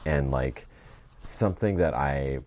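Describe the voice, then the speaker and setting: Very deep-voiced, rambling man podcasting. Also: takes it slowly, a little mellow. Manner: rambling, circling back to rephrase. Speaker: podcast co-host. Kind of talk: casual podcast chat.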